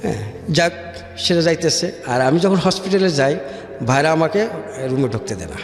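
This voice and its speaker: A man with a Bangladeshi accent telling his personal story as a radio interview guest, haltingly and often repeating words. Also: on the high side, animated. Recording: echoey hall-like reverb with music. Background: music.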